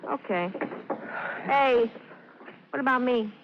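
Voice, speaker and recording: A woman's voice: a little high, slow pace. A woman playing a hoodlum's jaded, sardonic American girlfriend in a 1950s radio drama, with cynical slang. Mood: sullen, doubtful. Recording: muffled noisy vintage radio recording.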